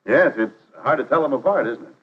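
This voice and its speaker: Guy acting as polite 50s salesman making his pitch, earnest and confident, bouncy intonation, muffled old film audio. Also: lively intonation, fairly quick, close to an everyday voice. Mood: eager pitching. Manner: courteous, confident sales patter. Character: earnest, polite, persuasive travelling salesman, adult man. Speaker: actor in dramatized training film. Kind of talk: 1950s instructional film acting. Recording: dull vintage 1950s film soundtrack.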